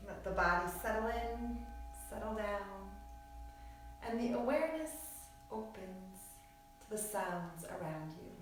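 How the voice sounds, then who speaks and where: A woman leads a guided relaxation in a very reverberant room, heard at some distance from the mic. She speaks soothingly at a medium pitch, in short, unhurried phrases with long pauses. Music plays behind her. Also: animated. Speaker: yoga nidra teacher.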